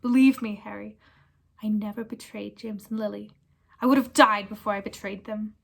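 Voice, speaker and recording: A woman's voice: mid-range voice, fairly even intonation, moderate pace. A woman voicing a gaunt, bitter man who escaped prison, speaking with fierce, harsh intensity in a storybook reading. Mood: haunted, earnest. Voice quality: croaking, harsh. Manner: intense, forceful.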